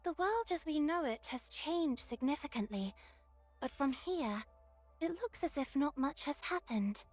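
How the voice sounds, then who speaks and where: A small, sweet girl from an anime game, timid and full of curiosity. The voice is extremely high and drawn out slowly. Soft game music sits behind it. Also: lively intonation. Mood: wondering.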